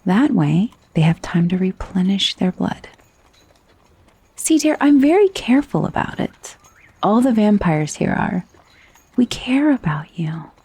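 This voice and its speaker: A woman playing a gentle but possessive, wealthy vampire mistress in an intimate ASMR roleplay, speaking softly and soothingly, often dropping into a whisper. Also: medium pitch, big pitch swings, unhurried, exaggerated voice. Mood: reassuring, persuasive. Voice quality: soft, breathy. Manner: soothing, genteel, hushed, often slipping into whisper. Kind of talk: ASMR roleplay, intimate soft-spoken monologue. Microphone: close, dry microphone.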